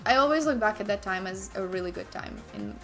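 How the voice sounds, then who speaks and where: A woman's voice: mid-range voice, lively intonation, a little fast, a bit bright. Chatty woman streamer, faint music. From Ukraine. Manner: chatty, restarts sentences, asides to herself mid-story. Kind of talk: casual chat while playing chess.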